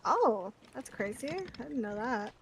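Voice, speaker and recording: A woman with a slightly high voice banters casually in an online game. Her manner is playful and teasing, and faint noise runs behind her. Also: lively intonation, unhurried. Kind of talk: casual chat while gaming.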